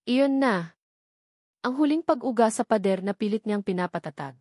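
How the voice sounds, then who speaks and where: A woman's voice: on the high side, lively intonation, moderate pace, a little mellow, no echo, clean studio sound. A woman with a Filipino accent reading a scripted romantic drama as an audiobook narrator.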